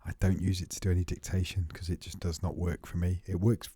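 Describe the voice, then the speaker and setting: Low mellow guy on a tech podcast, bit husky, lots of ums, thinking out loud. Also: level tone, fast, no room sound, clean studio sound. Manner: thoughtful, hesitant with frequent ums. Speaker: podcast co-host. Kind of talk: casual tech chat.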